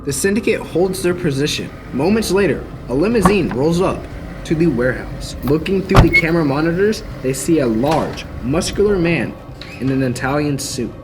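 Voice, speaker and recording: Young guy narrating an old style radio mystery, dramatic, slightly high voice, music bed. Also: expressive, normal speed, low-quality recording. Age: young adult.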